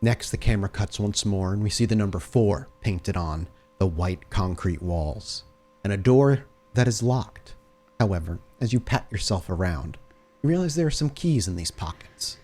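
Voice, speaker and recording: A man narrating a tabletop horror game as game master, cinematic, vivid and deliberate. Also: on the low side, animated, dark tone. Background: music.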